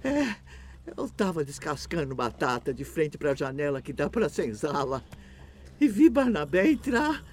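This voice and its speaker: A woman's voice: deep voice, very animated, a little slow, darkish tone, a little hiss or hum. A woman playing an anxious, urgent enslaved kitchen servant in a radio drama. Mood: anxious urgency.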